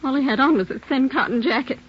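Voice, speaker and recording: A woman playing a fretful mother of a missing child in a 1940s radio drama, with a breathy voice. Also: sits high, fast. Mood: anxious. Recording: dull 1940s radio recording.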